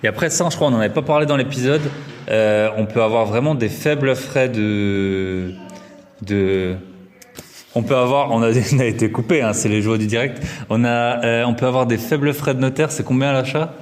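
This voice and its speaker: A chatty, joking man with an Alsatian French accent hosts a casual interview. His voice has a middling pitch. It rings with the long echo of a big, high-ceilinged hall. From Alsace, France. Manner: chatty, jokey, quick interjections. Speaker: podcast host. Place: large high-ceilinged room. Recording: echoey hall.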